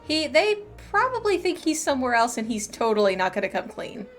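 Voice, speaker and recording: A woman's voice: high-pitched, big pitch swings, brisk. A woman giving casual, enthusiastic, gushing commentary as she plays through a game. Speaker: game streamer. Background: game music.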